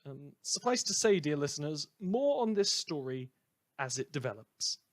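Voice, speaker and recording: A man playing a wry community radio host in a fan audio drama, delivering scripted narration in a measured, theatrical deadpan. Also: on the high side. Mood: hesitant, understated. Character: wry male community radio host telling eerie tales.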